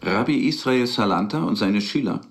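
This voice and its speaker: A man's voice: on the low side, lively intonation, moderate pace, over music. An actor in a radio play playing an earnest, articulate married professional man with an ironic, emphatic manner. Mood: wry, zealous. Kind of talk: radio play dialogue and recollection.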